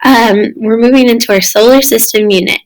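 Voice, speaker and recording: A woman with a slightly raspy voice, recovering from losing it, giving a casual recorded slideshow lesson as a science teacher. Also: a little high, brisk, rough recording. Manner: casual, explanatory, addressing students. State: recovering from lost voice.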